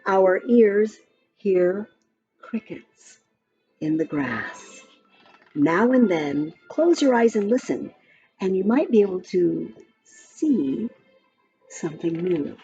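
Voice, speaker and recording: A woman reading a children's picture book aloud in a gentle, expressive storytime voice. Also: medium pitch, slow pace, pauses often, dull audio, faint music behind.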